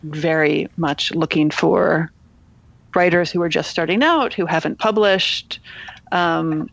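A woman editor being interviewed on a podcast, answering in a thoughtful, measured way and often restarting her phrases. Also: sits low, vocal fry, no echo, dull audio. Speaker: literary magazine editor, guest.

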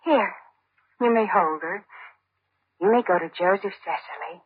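A woman playing a shy, tender young woman in love, breathy, in an old radio drama. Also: on the low side, a little slow. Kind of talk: 1950s radio drama acting. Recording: muffled vintage radio recording.

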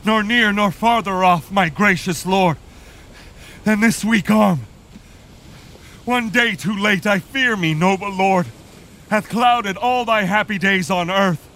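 A man's voice: sits high, slow, pushed and bright, exaggerated voice. An actor playing a grieving nobleman who brings bad news in mournful Shakespearean verse. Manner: mournful, lamenting. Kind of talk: Shakespearean stage acting.

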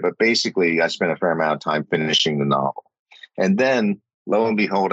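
A man's voice: low voice, fairly bright tone, breathy edge, no echo, highs cut off. A middle-aged man reflecting in an interview, talking thoughtfully with many fillers and restarts. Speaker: guest, former company chief and novelist.

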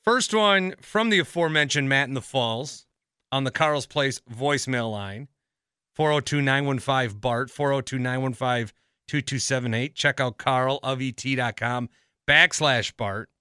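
A man's voice: mid-range voice, unhurried, sharp tone, clean tone, dry recording, clean studio sound. A man with an American accent hosting a podcast solo, talking casually and rambling as he thinks aloud. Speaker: podcast host.

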